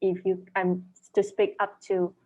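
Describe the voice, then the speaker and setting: A woman with a Malaysian accent, a native Chinese speaker, answering interview questions as an author in a thoughtful, measured way, searching for words. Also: mid-range voice, flat delivery, a little mellow, highs cut off. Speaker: author and dentist guest.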